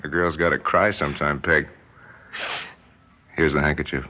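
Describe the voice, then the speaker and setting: An actor with an American accent playing an ardent, gentle young suitor, earnest and persuasive, in a 1960s radio drama. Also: male voice, extremely low pitch, fairly even intonation, normal speed, very noisy. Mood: tender. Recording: muffled 1960s radio recording.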